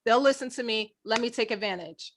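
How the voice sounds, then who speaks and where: High bright-voiced woman co-host. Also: a little hiss or hum. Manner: earnest, thinks aloud with restarts; quick to laugh. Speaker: podcast co-host. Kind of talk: casual faith-based discussion.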